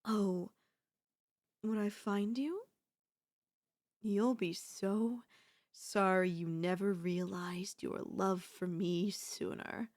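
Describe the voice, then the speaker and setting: A woman's voice: mid-range voice, fairly even intonation, drawn-out words, long pauses, darkish tone. A woman playing an obsessive, scheming yandere in a theatrical, haughty manner with formal phrasing, in an ASMR audio roleplay. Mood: coldly possessive, admiring.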